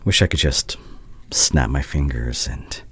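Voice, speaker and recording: A man playing a devoted, regretful doctor and anxious ex-boyfriend in an intimate ASMR audio roleplay, speaking haltingly and tenderly. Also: very deep voice, fairly bright tone, breathy edge, no echo, exaggerated voice.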